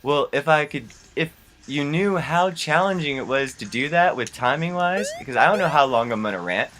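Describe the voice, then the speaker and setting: A man's voice: on the high side, animated, normal speed, noisy background. A young man telling casual, rambling stories as a gaming vlogger, with slangy, incredulous asides. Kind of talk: casual rambling storytelling over gameplay.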